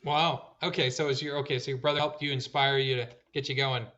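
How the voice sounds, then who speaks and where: A man's voice: mid-range voice, flat delivery, fairly bright tone. Upbeat man hosting, slightly muffled sound. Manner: upbeat, encouraging, quick reactions. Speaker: podcast host. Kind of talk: interview hosting.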